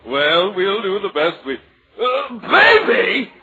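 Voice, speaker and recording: A genial country judge in an old radio play. His bright voice is animated and ceremonious, with folksy ease, on a muffled recording. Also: high voice, takes it slowly, slightly rough, heavily acted. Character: genial, folksy local male judge officiating a wedding. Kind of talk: 1940s radio acting.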